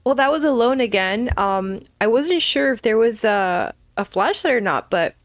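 A woman's voice: a little high, animated, moderate pace, dry recording. A woman giving casual, nervous commentary as she plays a horror game, thinking aloud. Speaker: gaming video host.

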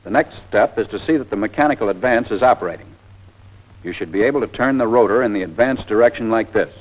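Muffled, band-limited 1940s film audio carries a low-voiced American man. He narrates a technical training lesson step by step, as a plain-spoken instructor with a slightly folksy turn. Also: quick pace.

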